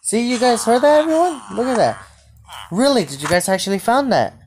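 A woman playing a bossy, easily startled king in an improvised game roleplay, haltingly repeating phrases. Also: fairly high pitch, animated, a little mellow. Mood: eager, demanding. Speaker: video creator playing a roleplay. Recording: noisy home mic with clicks.

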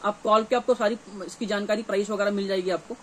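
Male shop dealer, thirties, North Indian accent, high voice, informal repetitive product patter, faint background noise. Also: steady tone, quick pace, poor audio. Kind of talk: informal product showcase to camera. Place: small cluttered gear shop, indoors.

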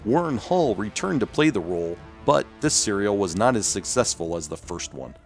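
A man's voice: fairly low pitch, sing-song, normal speed, soft background music. A man hosting and narrating a scripted documentary-style video.

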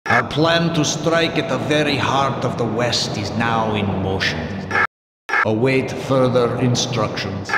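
Slow, menacing Soviet villain with Russian accent, acted by a man. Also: mid-range voice, very noisy, lightly acted. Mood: cold, commanding. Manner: slow, deliberate, commanding, threatening. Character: cold, menacing Soviet military villain and spymaster. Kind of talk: dramatic video game villain lines.

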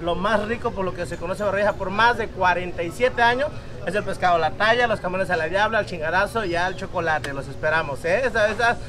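A man's voice: sits high, quick pace. A man in his thirties with a Guerrero coast Mexican accent, casually demonstrating cooking as a restaurant cook, often tagging phrases with little questions. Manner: repetitive, punctuated with "eh?" tags and rhetorical questions. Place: open-air beach restaurant and its kitchen. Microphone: camera a few steps away. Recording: roomy, distant camera mic, music underneath.

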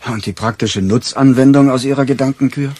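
An actor playing a weary, bitter veteran police chief in a crime radio play, dry and sardonic. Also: male voice, medium pitch, steady tone, a little fast, mellow, slight background noise, close to an everyday voice. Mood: dry, sceptical.

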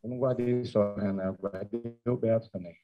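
A man, a football coach, answering press questions in measured, reflective phrases with short pauses at a table mic in a press conference room. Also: lowish voice, steady tone, slight background noise. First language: Portuguese. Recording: dull lo-fi stream audio.

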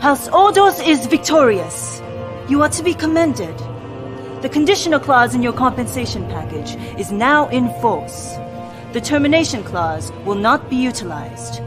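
A cold, imperious female war commander from a game cutscene. Her high voice moves widely in pitch while staying formal and clipped, with music underneath. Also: sing-song, moderate pace. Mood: proud proclaiming. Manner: formal, clipped, coldly businesslike. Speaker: military commander character giving orders. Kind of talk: scripted video game cutscene acting.

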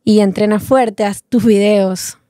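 A woman's voice: medium pitch, fairly even intonation, a little slow, dark tone, no echo, clean studio sound. A young woman with a Venezuelan Spanish accent, giving short, casual answers as an interview guest. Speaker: interview guest, fitness creator.

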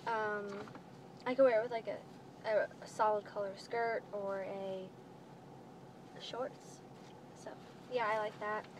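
A teenage girl talks casually to her viewers in a fairly high voice. She sounds like a home vlogger filming in a car, with clear background noise on the recording. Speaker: vlogger showing a clothing haul. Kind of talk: casual chat to camera. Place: inside a parked minivan. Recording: noisy phone-style recording inside a car.

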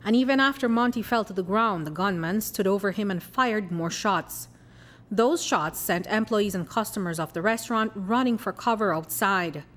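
Belizean lady news reporter, steady scripted voice-over, even and measured. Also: mid-range voice. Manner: measured, even newsreader delivery.

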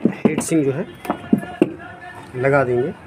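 A man's voice: medium pitch, takes it slowly, background noise. A man explaining a welding machine repair step by step, speaking casually at his workbench. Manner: plain step-by-step explaining while working. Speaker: electronics repair technician. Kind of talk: casual repair tutorial narration. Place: repair workshop bench.

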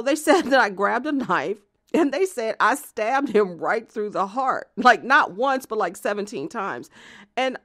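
A woman playing an unhinged jilted lover confessing from jail in a dramatic monologue, rambling and halting, defensive and prone to outbursts. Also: mid-range voice, a bit bright. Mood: agitated, incredulous. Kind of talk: dramatic monologue, audio theatre narration.

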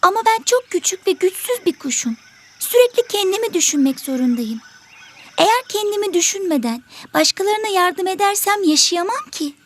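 A woman's voice: very high-pitched, lively intonation, moderate pace. A woman voicing a small, selfish, stubborn bird pupil in an educational cartoon, whiny and argumentative. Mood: self-pitying.

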